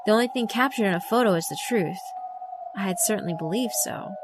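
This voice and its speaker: A woman's voice: medium pitch, fast. A woman reading scripted first-person story narration for a visual novel. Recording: music behind the voice. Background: mallet and glockenspiel music.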